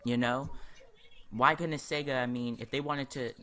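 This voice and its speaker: A man's voice: mid-range voice, fairly even intonation, normal speed, bright, forceful tone. A man giving casual, rambling let's-play commentary, sharing his opinions as he goes. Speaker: host of a gaming video series.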